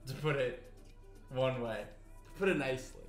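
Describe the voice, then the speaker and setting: A man's voice: mid-range voice, normal speed. Rambling young man in an echoey kitchen. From Canada. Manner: rambling, filler-heavy, many restarts. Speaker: cooking streamer. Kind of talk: casual live-stream chat while cooking. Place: home kitchen. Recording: roomy kitchen sound with faint music.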